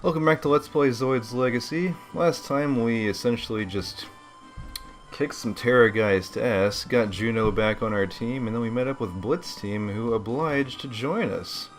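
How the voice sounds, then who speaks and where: A man's voice: mid-range voice, normal speed, soft background music. A man giving casual, rambling game commentary as a let's play host, laid-back and slangy.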